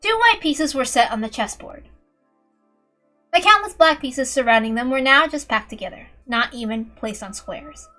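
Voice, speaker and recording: A woman's voice: sits high, lively intonation, normal speed, bright, forceful tone, faint music behind. A woman reading a fantasy story aloud as an amateur narrator, in a dramatic style. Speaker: amateur reader.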